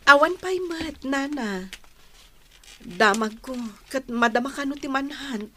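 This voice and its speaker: An actress playing a caring, gentle young woman in a radio drama. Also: high voice, animated, slow pace, a little hiss or hum, heavily acted. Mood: concerned. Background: music.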